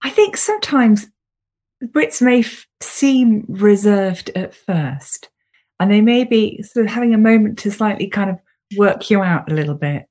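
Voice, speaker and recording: A woman's voice: mid-range voice, big pitch swings, measured pace, darkish tone. A woman tour guide, chatting in a friendly way with hesitant restarts over a video call. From Britain. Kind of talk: casual guest chat on a video call.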